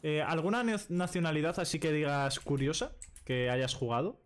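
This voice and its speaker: A man's voice: on the high side, moderate pace. A young man from Spain, chatting casually on a live stream as he plays a card game, thinking aloud and dropping "vale" into nearly every sentence, recorded in a small room at home. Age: young adult. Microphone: desk microphone with headset.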